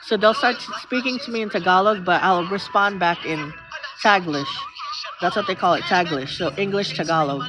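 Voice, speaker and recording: A woman's voice: fairly low pitch, normal speed. A middle-aged woman from the Philippines with a Filipino accent, chatting casually on a live stream, rambling through stories and thanking viewers by name. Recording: slightly muffled stream audio with music. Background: background music.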